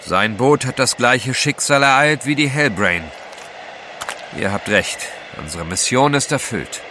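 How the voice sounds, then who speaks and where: A gallant gentleman adventurer in a radio play. His mid-pitched voice swings widely and stays formal and earnest, over clear background noise. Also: animated, a little slow. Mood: grave. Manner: formal, grave, dramatic. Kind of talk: audio drama acting.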